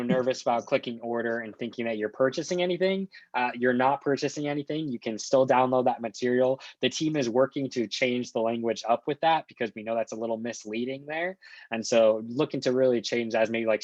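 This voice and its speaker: A man working as a software trainer, talking through an on-screen walkthrough in an informal, explanatory and reassuring way. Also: medium pitch, no room sound, dull audio.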